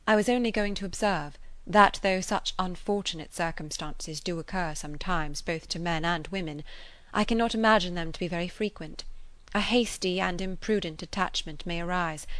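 A woman reading a classic novel, voicing a reserved, serious young woman in a measured, formal way. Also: lowish voice, fairly bright tone, no room sound, lightly acted. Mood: grave, restrained. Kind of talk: classic novel character dialogue.

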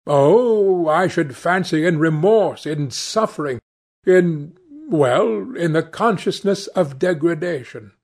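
Man acting an earnest, moralistic painter, lifted pitch. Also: animated, takes it slowly, a little mellow, exaggerated voice. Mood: earnest, sincere. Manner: earnest, reproachful. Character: earnest, moralistic gentleman painter, troubled and sincere. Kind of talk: audiobook character dialogue.

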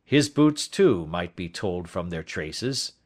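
A man's voice: sits low, big pitch swings, slow pace, exaggerated voice. A man reading the part of a brilliant Victorian detective, who lectures in a precise, emphatic way. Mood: lecturing.

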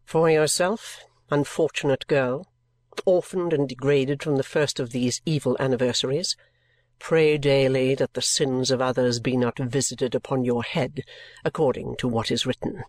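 A reader voicing a stern, bitter woman godmother who speaks slowly in a cold, low voice. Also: steady tone, no echo, lightly acted. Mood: coldly stern. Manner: slow, grave, unforgiving.

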